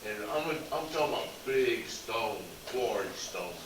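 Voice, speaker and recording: A man, a member of a Bible study group, speaking in halting stops and restarts. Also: medium pitch, expressive. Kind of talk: casual chat. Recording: very echoey room, far from the mic, noisy.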